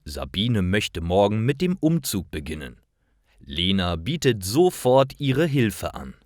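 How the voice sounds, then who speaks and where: A man narrates simple learner stories at a very slow pace, phrase by careful phrase. His voice sits fairly low but moves with very lively intonation. The sound is dry and close. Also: no echo. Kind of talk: scripted narration, slow learner-friendly storytelling.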